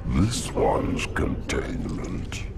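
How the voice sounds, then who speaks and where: An actor playing an ancient, menacing alien hive-mind creature in a video game, with a guttural, breathy growl and an ominous, oratorical delivery. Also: male voice, drawn-out words, loud music behind the voice. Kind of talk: dramatic video game cutscene acting.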